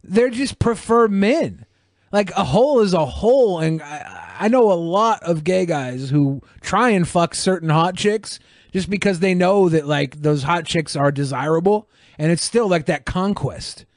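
A man's voice: high-pitched, expressive, unhurried, no echo, clean studio sound. A man co-hosting a podcast with casual, crude comedic banter. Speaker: podcast co-host reacting to a video.

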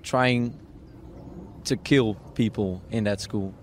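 A man's voice: fairly low pitch, steady tone, takes it slowly, a little hiss or hum. A young man from the Netherlands with a Dutch accent, a guest chatting casually, hesitant with lots of fillers and restarts. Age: young adult. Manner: hesitant, many fillers and restarts, laughs often. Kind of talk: casual interview chat.

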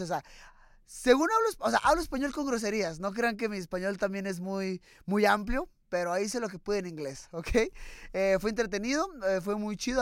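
A man's voice: sits high, fairly bright tone, clean tone, no echo, clean studio sound. A young man with a Mexican accent hosting a casual interview show, chatty and full of slang, laughing easily. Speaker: host. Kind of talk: casual chat.

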